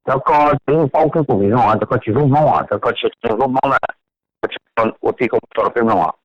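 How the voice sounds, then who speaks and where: Mid-pitched man on a muffled phone line. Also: animated, fairly quick, dry recording. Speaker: participant on a conference call. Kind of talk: conversational speech on a group call. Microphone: telephone. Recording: dull, lo-fi telephone conference line.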